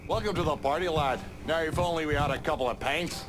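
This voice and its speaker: A man's voice: on the high side, fast talker, music in the background, close to an everyday voice. A man playing a brash, crude gangster, cocky and irreverent, in a video game cutscene. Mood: jovial.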